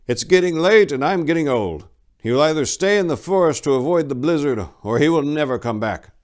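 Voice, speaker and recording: A man's voice: on the high side, lively intonation, a little fast, voice pushed up, natural voice. A man voicing an old, weary, stoic elf monk who lectures devoutly in a lofty, solemn manner for a fantasy story reading. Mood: weary, gloomy.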